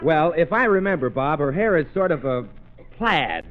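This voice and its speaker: A man's voice: fairly high pitch, very animated, normal speed, noisy background. An American man working as announcer and straight man on a 1930s radio programme. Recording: tinny narrow old radio broadcast.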